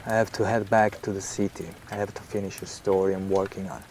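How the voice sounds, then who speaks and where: A man plays a middle-aged writer in a radio drama, genial and a little self-absorbed. His voice sits low, and he talks in a chatty, sociable way with faint noise behind him. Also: flat delivery, brisk, darkish tone, lightly acted. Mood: chatty, musing. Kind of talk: audio drama acting.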